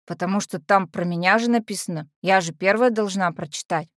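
A woman's voice: mid-range voice, fairly quick, no room sound, clean studio sound, lightly acted. A woman playing a brash, lovestruck teenage orphanage girl, telling her story in a dramatic monologue with slangy, naive, confiding speech. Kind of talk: dramatic first-person monologue.

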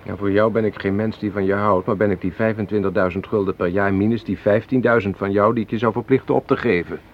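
Old radio play, muffled sound: man playing an earnest young lover with a lowish voice, hurt and pleading. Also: steady tone, quick pace, slight background noise, close to an everyday voice. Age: young adult. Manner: pleading, protesting. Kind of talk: Dutch radio play acting. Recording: dull old radio recording.

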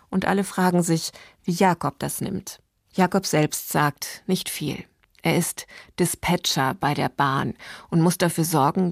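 A woman radio host giving a scripted introduction to a literary reading in a measured, explanatory manner. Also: low-pitched, vocal fry, a little mellow, dry recording, studio quality. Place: dry radio studio.

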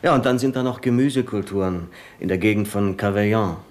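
An actor playing a humble, rattled secretary and war veteran who speaks haltingly, in a 1970s radio play. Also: male voice, fairly low pitch, close to an everyday voice. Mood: earnest. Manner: hesitant, halting, earnest.

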